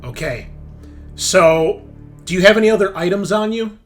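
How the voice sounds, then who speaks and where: A man's voice: sits high, normal speed, faint music behind. A man narrating a tabletop roleplaying game as game master, switching between dramatic, emphatic description and casual asides about the rules.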